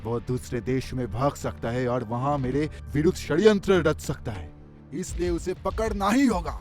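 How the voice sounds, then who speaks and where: A man's voice: on the high side, moderate pace, a little mellow, soft background music, lightly acted. A man voicing a ruthless, imperious usurper king in a cartoon story. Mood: menacing, urgent. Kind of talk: Hindi cartoon royal villain acting.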